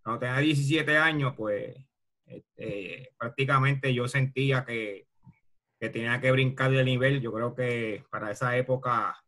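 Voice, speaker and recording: A man's voice: mid-range voice, fairly even intonation, a little slow, pushed and bright. A middle-aged man from Puerto Rico with a Puerto Rican accent, reminiscing casually on a video call as a retired ballplayer. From Bayamón, Puerto Rico. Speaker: retired baseball player, interview guest. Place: video call from a room at home.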